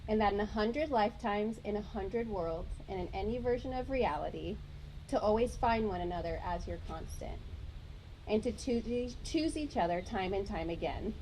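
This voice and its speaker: A woman delivers a slow, heartfelt wedding address in an even, mid-pitched voice. A faint hiss of background noise sits under her words. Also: poor audio.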